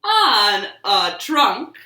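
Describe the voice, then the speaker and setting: A woman hosting storytime for young children, speaking in an expressive, encouraging sing-song way in a living room. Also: mid-range voice, drawn-out words. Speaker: children's storytime librarian host. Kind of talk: children's storytime, talking and reading aloud to young kids. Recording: roomy home living room echo.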